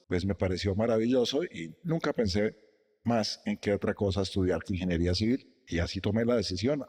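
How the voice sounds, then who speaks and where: A middle-aged man, a company president, telling his story in a measured, reflective way during an interview in his office. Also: fairly low pitch, darkish tone, big-room sound. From Costa Rica, raised in Guatemala and Colombia.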